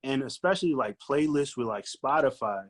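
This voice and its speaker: A man's voice: mid-range voice, animated, brisk. A man speaking as a guest artist in casual panel chat, giving his opinions emphatically. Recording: dull lo-fi audio.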